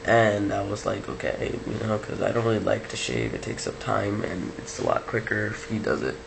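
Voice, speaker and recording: A man talking casually to his camera in a relaxed, rambling way with many ums, sounding like he just woke up. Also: lowish voice, normal speed, gravelly. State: just woke up, low-key. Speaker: vlogger. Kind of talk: casual video diary. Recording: noisy background hiss.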